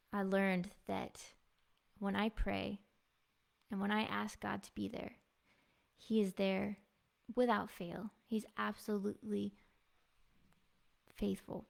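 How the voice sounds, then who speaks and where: Woman, devotional testimony, gravelly fry, flat even delivery, earnest. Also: medium pitch. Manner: earnest, heartfelt, soft-spoken, with small laughs. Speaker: devotional speaker and music minister. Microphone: clip-on mic.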